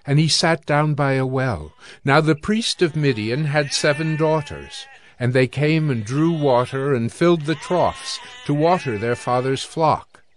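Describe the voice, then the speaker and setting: A man's voice: on the high side, a little slow. A man narrating scripture from a script in a dramatized reading.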